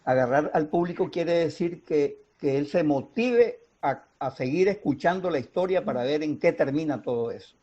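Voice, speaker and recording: Muffled, mellow Colombian Caribbean man, deliberate. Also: a little high, animated. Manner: didactic, deliberate, with pauses. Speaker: radio drama writer and mentor. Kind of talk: explanatory interview talk. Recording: dull, muffled lo-fi sound.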